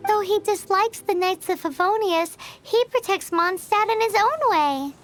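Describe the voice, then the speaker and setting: A woman voicing a small, excitable, childlike fairy companion in an anime video game. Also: very high-pitched, unhurried, soft background music. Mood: doting.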